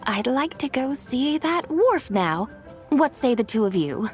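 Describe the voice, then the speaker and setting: A woman voicing a serene, dignified ancient immortal in a video game, speaking in a stately, formal, measured way. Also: sits high, big pitch swings, a little mellow, faint music behind. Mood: gracious. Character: serene, dignified ancient female adeptus of the moon. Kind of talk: video game voice acting with poetic recitation.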